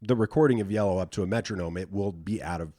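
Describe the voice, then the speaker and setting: A man with a lowish voice speaks as a guest in a casual interview. He explains things in an easygoing, matter-of-fact manner. The recording is close and very dry. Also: fast talker, dark tone, dry recording. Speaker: podcast guest, musician. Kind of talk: casual chat.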